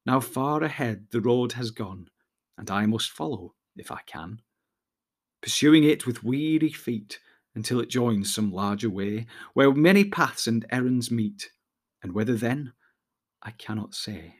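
A man's voice: mid-range voice, animated, unhurried. A man reading aloud, voicing a wry, reflective male hobbit leader.

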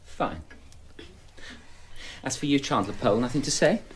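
Volatile, bitter man acted out, swooping pitch. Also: lively intonation, moderate pace, slight background noise, natural voice. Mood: cold accusation. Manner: impassioned, sarcastic, accusatory. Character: bitter, volatile, grief-stricken male houseguest, theatrical accuser. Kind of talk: radio drama acting.

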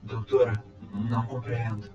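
A man playing a calm, courteous robot time traveler in an audio drama, speaking in formal, measured reports. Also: mid-range voice, lightly acted. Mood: puzzled, courteous. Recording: echoey, dull amateur sound, music behind.

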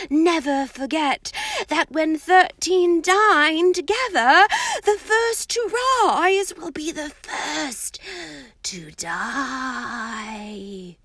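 A misty old seer woman breathes her lines in a high, faraway whisper, as a woman reader puts her on. She drifts slowly and dramatically between ominous warnings, her pitch swooping up and down. The storybook reading sounds close and dry. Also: dry recording, exaggerated voice.

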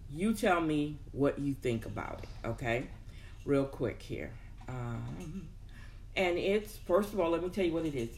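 The speaker is a host talking casually and motivationally to followers. The voice sits at a medium pitch. The delivery is informal, thought out aloud, with words repeated to drive a point home. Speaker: host of a personal development show. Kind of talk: casual motivational talk to an audience.

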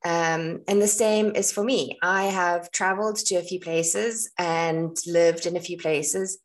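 Lower-pitched South African woman, chatty podcaster. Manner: conversational, explanatory. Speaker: podcast host and astrologer. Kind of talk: casual solo podcast talk.

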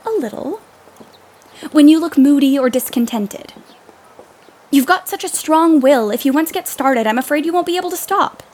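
Casting: woman as blunt tomboyish young woman, period audio play, very animated high voice, emphatic delivery. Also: normal speed, pauses often. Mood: earnest. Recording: noticeable background noise.

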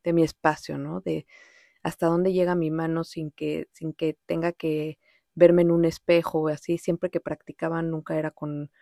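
A woman's voice: deep voice, level tone, moderate pace, vocal fry, warm tone, no echo, studio quality. A woman with a Mexican accent hosting a podcast, telling personal reflections in an informal, rambling way with occasional English words. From Mexico City, Mexico. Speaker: podcast host. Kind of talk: casual personal monologue, reflective storytelling.

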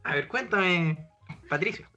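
A man's voice: high voice, expressive, a little slow, dull audio, over music. A man with a Chilean accent talking as a panelist in a casual group discussion. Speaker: podcast panelist.

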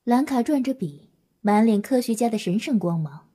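A woman's voice: on the high side, expressive, normal speed, warm tone, slightly rough. A woman reading scripted story narration for an audio drama.

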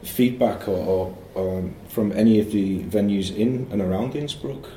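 A man's voice: lowish voice, a little slow, dark tone, echoey room, distant mic, slight background noise, rough recording. A man interviewing a band in a university coffee room, asking light, teasing questions. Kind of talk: casual interview.

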